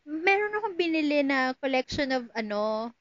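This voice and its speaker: A woman's voice: high-pitched, big pitch swings, moderate pace, a bit bright, no echo, muffled recording. A Filipino woman co-hosting a podcast, chatting playfully and casually while switching between two languages. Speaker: podcast co-host. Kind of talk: casual bantering book chat in code-switched speech.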